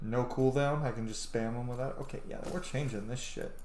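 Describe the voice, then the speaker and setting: A man's voice: medium pitch, fairly even intonation, a little fast, a little mellow, a little hiss or hum. A man giving casual, blunt, sweary commentary as he plays a video game. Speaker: gamer, video host. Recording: roomy sound with game music behind. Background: video game music.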